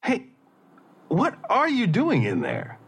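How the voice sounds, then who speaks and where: A woman acting a nosy, teasing housemate with a husky voice in audio drama dialogue. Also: mid-range voice, huge pitch swings, slow, full character voice. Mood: chatty. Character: nosy, teasing housemate calling through a door.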